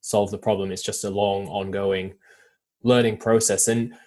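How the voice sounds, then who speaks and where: A man's voice: lowish voice, flat delivery, normal speed, darkish tone. A man hosting a podcast interview, responding to the guest and posing questions. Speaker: podcast host.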